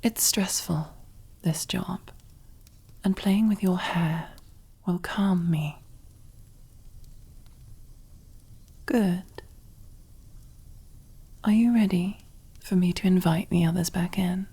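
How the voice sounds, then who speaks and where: A woman playing a commanding, possessive and silkily affectionate female mafia boss in an ASMR roleplay, speaking intimately in a breathy, husky voice that often drops to a whisper. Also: lowish voice, steady tone, full character voice. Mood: soft, commanding. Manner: slow, controlled, softly commanding, intimate.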